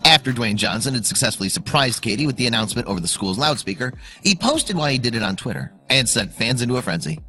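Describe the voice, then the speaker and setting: A man narrates a scripted story for online video with suspense and emphasis. His bright, forceful voice sits at a middle pitch and moves in lively swings. Music plays softly behind him. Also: quick pace, few pauses, low-quality recording. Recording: music under the voice. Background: background music.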